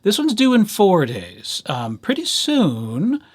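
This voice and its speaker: A man's voice: high voice, wildly swooping pitch, takes it slowly, breathy edge. A man presenting an informal screencast tutorial for library users, talking through each step in a playful, conversational way with little asides.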